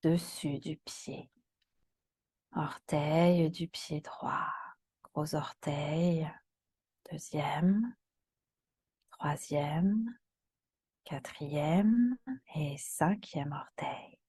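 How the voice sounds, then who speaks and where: A woman yoga teacher guiding a relaxation meditation in a calm, soothing, soft voice that often turns breathy. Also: sits low, no room sound, studio quality. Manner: calm, soothing, gentle instructions with long pauses.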